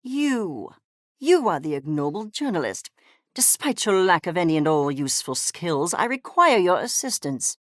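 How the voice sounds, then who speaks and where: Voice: medium pitch, very animated, normal speed, a bit bright, no echo, clean studio sound. An actor, sounding female, voicing an arrogant, obsessed mad-scientist creature in a video game, with pompous, clinical, precise diction. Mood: haughty. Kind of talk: theatrical game character acting.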